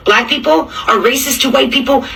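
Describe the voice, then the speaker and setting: A woman's voice: high voice, a little fast, gravelly, bright, forceful tone, recorded from a distance, faint music behind. A woman ranting in a complaining, aggrieved manner. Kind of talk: complaining video rant.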